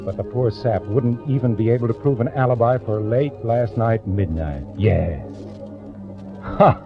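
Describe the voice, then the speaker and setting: A man's voice: medium pitch, measured pace, natural voice. An actor playing a lonely, meek male bank clerk with a nervous, bitter edge, in 1940s radio drama style. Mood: anxious, scheming. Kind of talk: 1940s radio acting, first-person dramatic narration. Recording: dull vintage radio recording. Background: dramatic music.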